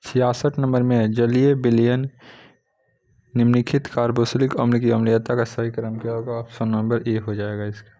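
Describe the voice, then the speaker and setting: A man's voice: medium pitch, little pitch movement, moderate pace, dark tone. Man teaching exam questions methodically. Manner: methodical, reads each question then states the option. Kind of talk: reading practice questions and giving answers.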